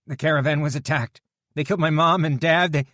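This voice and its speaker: A man's voice: fairly high pitch, sing-song, fairly quick, fairly bright tone, slightly rough, no echo, studio quality, voice pushed up, heavily acted. A man voicing a frightened young escaped prisoner who pleads breathlessly. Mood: desperate.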